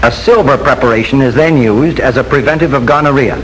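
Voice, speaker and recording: An American man reads a scripted 1940s instructional film narration in a formal, measured, instructive manner. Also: medium pitch, a bit bright. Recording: noisy 1940s film soundtrack with hiss.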